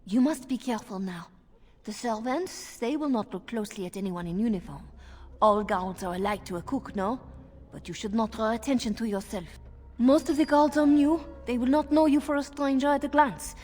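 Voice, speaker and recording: An actress playing an anxious, scheming elven handmaid in a video game. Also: female voice, fairly high pitch, moderate pace, darkish tone, close to an everyday voice. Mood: cautious, urgent. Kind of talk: video game cutscene acting.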